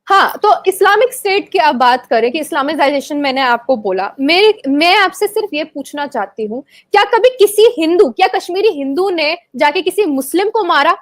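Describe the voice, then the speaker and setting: A woman from Kashmir, a debate panelist, making her case with forceful rhetorical questions and hesitant fillers. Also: high voice, animated, moderate pace, few pauses, dry recording, clean studio sound.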